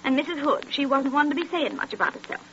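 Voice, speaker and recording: High-voiced gossipy landlady, old radio. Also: lively intonation, fast, fairly bright tone. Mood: confiding. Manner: gossipy, indignant. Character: talkative, defensive working-class landlady of a boarding house. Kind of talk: 1930s radio drama acting. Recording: noisy, dull 1930s radio recording.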